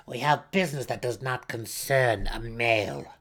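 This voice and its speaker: A cold, scheming matron mother with a bright, sharp edge to her voice, as a male narrator puts her on. She speaks slowly and haughtily, every word condescending. She sounds imperious and in full command. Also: medium pitch, lightly acted. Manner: slow, haughty, condescending delivery. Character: cold, sly female matriarch, imperious and scheming. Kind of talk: audiobook character voice.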